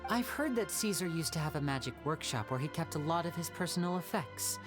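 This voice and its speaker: Game role: suave young male magician, animated intonation, theatrical and courteous, music behind. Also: sits low, brisk. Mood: composed. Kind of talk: video game voice acting.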